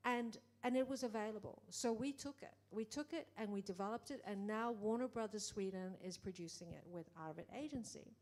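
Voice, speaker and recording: A middle-aged woman producer speaking in a conversation, animated, acting out quoted exchanges, with many restarts. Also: mid-range voice, fairly quick, hardly any pauses. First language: English. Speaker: film producer. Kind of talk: panel conversation.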